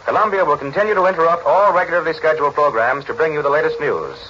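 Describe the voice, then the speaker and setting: A man reading news bulletins as a formal, deliberate 1940s radio announcer, on an old broadcast recording. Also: a little high, expressive, bright, forceful tone, muffled recording, a little hiss or hum.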